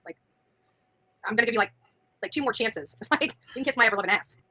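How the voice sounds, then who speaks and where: A woman's voice: a little high, lively intonation, quick pace, bright, forceful tone, breathy edge, dry recording. A woman in her forties chatting bluntly and brashly on a livestream panel, laughing often. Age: 40s. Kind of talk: casual livestream chat.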